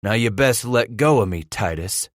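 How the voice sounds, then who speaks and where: A man's voice: fairly low pitch, expressive, moderate pace, no room sound, clean studio sound, lightly acted. A man voicing a big, hot-tempered frontier trapper in an audiobook, growling in rustic dialect. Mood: sly, gruff bargaining.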